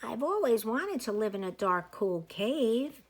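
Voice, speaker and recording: A woman's voice: on the high side, very animated, measured pace, full character voice. A woman voicing a small, curious male toy bear with musing, wide-eyed wonder in a storybook. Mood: dreamy wonder.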